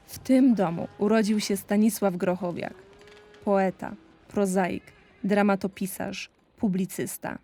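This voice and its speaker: A woman narrator reading scripted documentary narration in a measured, informative way. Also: mid-range voice, mellow.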